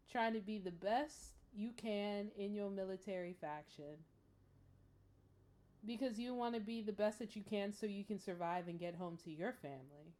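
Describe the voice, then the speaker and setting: A woman's voice: mid-range voice, a little mellow. A woman hosting casual reaction commentary, exclamatory and emphatic, repeating words for effect. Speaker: reaction host.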